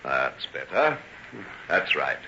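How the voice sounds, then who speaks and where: An actor in an old-time radio drama playing a soothing, apologetic gentleman doctor with a polite, formal, hesitant manner. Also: very deep voice, big pitch swings, slow pace, heavily acted. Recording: dull old radio recording, faint noise.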